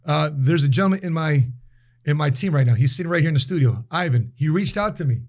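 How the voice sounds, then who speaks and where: A man in his late forties, a guest in a casual interview, telling stories with animated emphasis and repeated phrases. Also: a little high, fast. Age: 40s.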